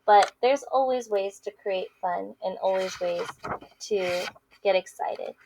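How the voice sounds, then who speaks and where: A woman's voice: medium pitch, a little slow, noisy background. A woman teacher presenting a read-aloud for young children.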